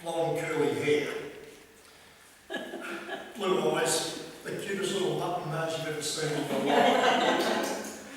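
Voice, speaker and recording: A man giving a humorous storytelling speech to a live audience, theatrical, with dramatic pauses and swells. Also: a little high, steady tone. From Australia. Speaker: club speaker telling a tall tale. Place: large echoey room at a club meeting. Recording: very echoey hall from far off, faint noise.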